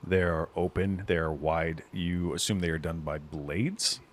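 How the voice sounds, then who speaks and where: A man's voice: low voice, steady tone, a little slow, a little hiss or hum. A man narrating a tabletop game as the game master.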